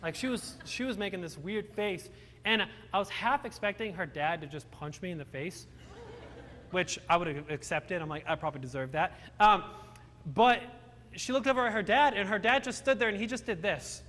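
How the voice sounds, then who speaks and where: A man's voice: high voice, moderate pace, fairly bright tone, in a large hall, a little hiss or hum. A young man born in Romania, telling his life story with self-deprecating humor to a live audience, often restarting his sentences. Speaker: motivational speaker. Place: large hall with audience.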